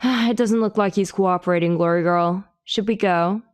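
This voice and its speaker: A woman voicing a sardonic young healer heroine in an audiobook, speaking in a solemn deadpan. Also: medium pitch, moderate pace, smooth, dry recording, natural voice. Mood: deadpan threat. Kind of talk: audiobook character acting.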